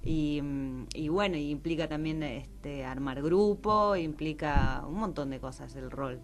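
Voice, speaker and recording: A woman's voice: deep voice, lively intonation, a little slow. A woman with an Argentine accent, thinking aloud in a casual, hesitant way as an interview guest. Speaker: interview guest, actress and director. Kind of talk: casual conversation.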